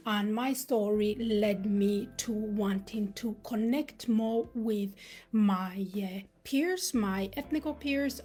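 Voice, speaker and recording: A woman from Uganda, raised in Sweden, hosting a livestream talk. She speaks in an earnest, motivational way, pausing with fillers. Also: medium pitch, darkish tone. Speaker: host and founder.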